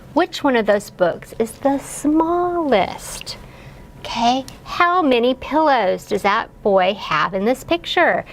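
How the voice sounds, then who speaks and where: A woman's voice: sits high, a little hiss or hum. A woman educator giving instructional guidance to parents, explaining and modeling simple questions as if for a young child. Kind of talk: instructional read-aloud guidance.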